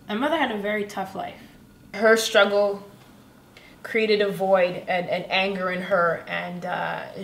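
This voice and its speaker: A woman's voice: mid-range voice, roomy sound. A woman who coaches women in empowerment, speaking earnestly and reflectively in a documentary interview and building emphasis through repetition.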